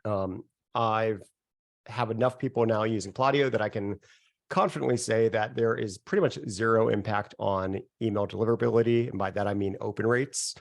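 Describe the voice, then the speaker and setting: Male presenter, stuffy and nasal from a cold, deep with gravelly fry, easygoing on a video call. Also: a little fast, no echo, clean studio sound. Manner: relaxed and conversational, chuckles at himself. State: getting over a cold. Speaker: software founder presenting a workshop. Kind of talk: casual live webinar presentation.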